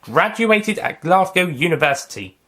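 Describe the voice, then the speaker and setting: A man voicing an eccentric, genial time-traveling alien in an audio story, chirpy and lively. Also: sits high, moderate pace, pitched above the performer's own voice, exaggerated voice.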